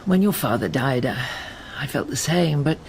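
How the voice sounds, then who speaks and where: A woman's voice: very deep voice, animated, moderate pace, vocal fry, a little mellow, slight background noise, exaggerated voice. A woman playing a sardonic, snobbish older grandmother with a dry, arch, tactless manner in a radio drama. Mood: awkwardly consoling.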